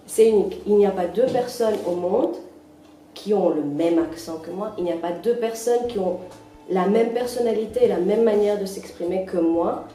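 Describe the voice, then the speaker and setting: A woman's voice: medium pitch, normal speed, warm tone, faint music behind. A woman making firm, assured points in an interview. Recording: echoey room, mic some distance off.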